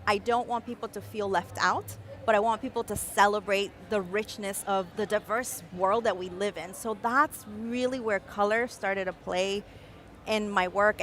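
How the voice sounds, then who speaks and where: A young adult woman, born in Mexico City and raised in Caracas, explaining her design process with expressive enthusiasm in a live-stream interview. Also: medium pitch, a little hiss or hum. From Mexico City, raised in Caracas, Venezuela. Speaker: guest designer.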